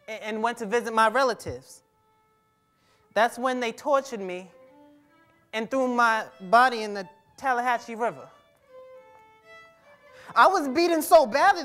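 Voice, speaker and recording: A defiant, wounded teenage boy played by a young man speaks from a stage in a high voice. His delivery is folksy and halting, with emphatic bitterness, as in a dramatic play reading. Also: bright, forceful tone. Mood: bitter, then pained, bitter. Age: young adult. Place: theatre-like stage in a conference hall. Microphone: lapel.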